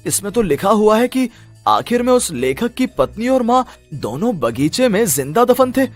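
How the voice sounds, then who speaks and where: A man's voice: sits high, very animated, normal speed, soft background music. A man playing a panicky, haunted male writer who talks in agitated, repetitive disbelief in a horror cartoon story. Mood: bewildered. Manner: agitated, repeats himself in disbelief.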